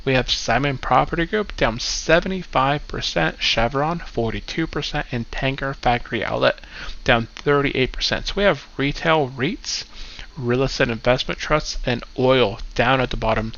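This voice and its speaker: A man runs through his portfolio for his channel, congested and a bit stuffy. His voice is bright and forceful with a gravelly edge. The recording sounds dull and lo-fi. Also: medium pitch, normal speed. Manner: upbeat, keeps saying "go ahead". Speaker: investing channel host. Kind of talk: casual finance vlog walkthrough.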